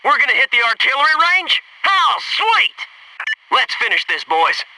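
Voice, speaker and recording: A man's voice: very high-pitched, sing-song, normal speed, recorded from a distance, noisy background, heavily acted. An actor playing a cocky, wisecracking male Navy SEAL squadmate in a military video game, talking in brash, punchy banter. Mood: gleeful, then joking. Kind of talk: scripted video game squad radio lines.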